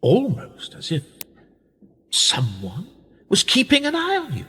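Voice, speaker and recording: A British man in a radio play acting a wealthy, upper-class power broker who is smug, condescending and manipulative, speaking in a measured, pompous way. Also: high-pitched, wildly swooping pitch, pushed and bright, husky, exaggerated voice. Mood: gloating. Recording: reverberant hall-like echo.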